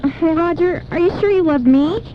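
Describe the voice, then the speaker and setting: Woman acting a pleading high-voiced wife, muffled radio. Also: moderate pace. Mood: anxious, resolute. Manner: pleading, emotional, melodramatic. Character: torn, pleading married woman, earnest and guilt-ridden. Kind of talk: old-time radio drama acting. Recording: muffled, faintly noisy AM radio aircheck.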